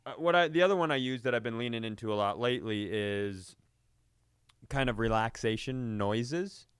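A man's voice: medium pitch, normal speed, clean tone, no echo, studio quality. A man co-hosting a comedy podcast, telling anecdotes in a casual, rambling way with some swearing. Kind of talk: casual comedic storytelling chat.

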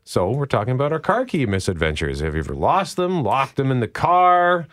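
A man's voice: medium pitch, wildly swooping pitch, fairly quick, a bit bright. A man with a Canadian accent talking casually as a co-host on a radio morning show.

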